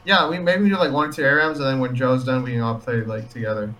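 A man's voice: medium pitch, steady tone, fast talker, fairly bright tone, recorded from a distance, low-quality recording. A man in casual chat on a podcast, chatty and asking curious questions.

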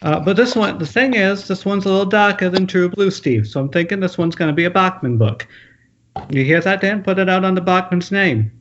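A man's voice: high voice, expressive, fairly quick, a bit bright. A man playing a manic, nervy 1980s horror novelist in a screenplay table read, rambling and repeating himself with punchy, profane bursts. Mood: eager, jittery. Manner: frantic, rambling, repetitive, punchy exclamations, profane. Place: at home on a group internet call. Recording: muffled, noisy internet call with clicks.

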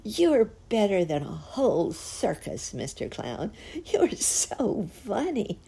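A woman's voice: fairly low pitch, big pitch swings, warm tone, husky, full character voice. A woman voicing an emotional little girl in a storybook tale. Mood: delighted. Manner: halting, sobbing stammer when upset. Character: little girl, tearful, doubtful, then delighted.

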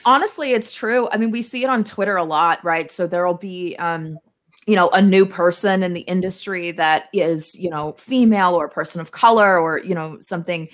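A woman's voice: on the low side, normal speed. A woman chatting casually and emphatically as a guest on a talk show, with a cynical way of talking. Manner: self-described cynic, emphatic. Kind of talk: casual chat.

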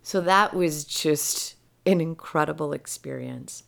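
A woman gently telling a personal story about healing a rescued dog, her voice soft and often dropping to a near whisper. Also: low-pitched, creaky. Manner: gentle and slow-paced. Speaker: animal healer.

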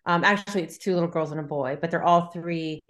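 Low-pitched woman, thoughtful podcast guest. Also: fast, a bit bright. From United States. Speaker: debut novelist, guest. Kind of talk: casual interview talk.

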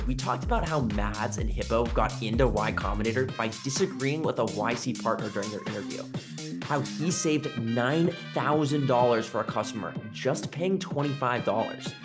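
A man hosting a podcast, reading a measured introduction and then asking his guest questions. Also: mid-range voice. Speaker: podcast host. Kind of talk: scripted intro and interview questions. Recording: music playing under the intro.

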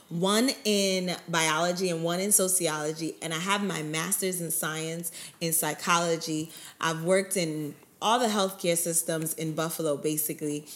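A woman's voice: on the low side, a bit bright. A woman from Brooklyn, co-hosting a faith talk show in a chatty way and often saying "by God's grace". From Brooklyn, New York. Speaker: co-host of a ministry show. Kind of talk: casual conversational talk.

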